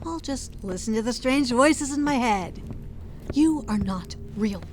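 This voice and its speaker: A woman playing a wary young woman exploring a dark house in an audio drama, nervous but wry. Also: fairly high pitch, lively intonation, unhurried, slight background noise. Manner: nervous, wry, self-talking.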